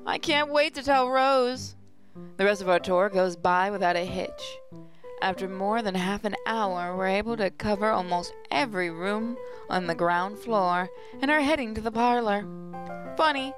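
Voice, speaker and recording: A woman gaming host reading a visual novel aloud as expressive first-person story narration. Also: mid-range voice. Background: music.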